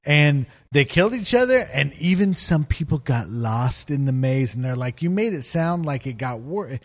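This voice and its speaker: A man's voice: a little high, normal speed. A man in his forties hosting a casual chat, telling stories with jokes. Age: 40s. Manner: animated joking storyteller. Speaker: podcast host. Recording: long reverb in room.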